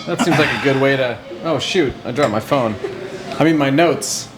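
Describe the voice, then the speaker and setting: A man's voice: medium pitch, normal speed. A male podcast host with a playful, joking manner, chatting casually with his co-hosts. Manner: jokey, playful banter. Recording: steady background noise.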